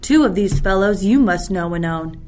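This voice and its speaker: A woman playing a commanding exiled duchess and mother in a Shakespeare radio play, speaking formally and deliberately. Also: medium pitch, lively intonation, darkish tone, lightly acted. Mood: stern accusing. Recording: noisy recording with ambient sound texture.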